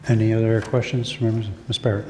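An older man with a low, flat voice chairs a board meeting from behind a desk mic. The council chamber gives his voice a roomy echo and long reverb, with faint background noise. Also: fast talker. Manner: plain, questioning. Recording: echoey hall with faint noise.

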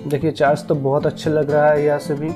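A man's voice: a little high, completely flat delivery, a little fast, music in the background. A man giving casual narration for a travel vlog as its host.

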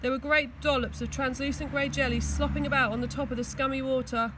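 An English woman reading a children's storybook aloud with expressive narration, recorded outdoors. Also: high voice, brisk, background noise. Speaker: school teaching assistant reading aloud. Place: outdoors on grass.

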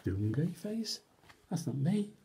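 Mellow man reading aloud, very animated. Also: on the high side, takes it slowly, gravelly. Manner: theatrical, mock-reluctant asides and exaggerated expression. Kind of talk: animated read-aloud of a comic children's story.

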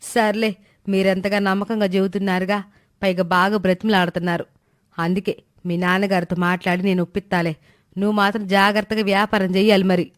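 A woman voicing a stern but soft-hearted older village mother in a moral story, scolding in colloquial rustic speech. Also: medium pitch, flat delivery, brisk, natural voice. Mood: relenting. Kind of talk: animated moral story dialogue.

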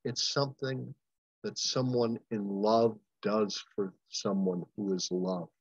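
Older pastor, slow emphatic preaching. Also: male voice, fairly low pitch, fairly even intonation, husky, no room sound. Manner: impassioned, emphatic preaching with slow dramatic pauses. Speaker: pastor leading a Bible study. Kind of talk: Bible study teaching. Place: home room, indoors. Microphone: webcam on a video call. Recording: dull lo-fi webcam audio, dry.

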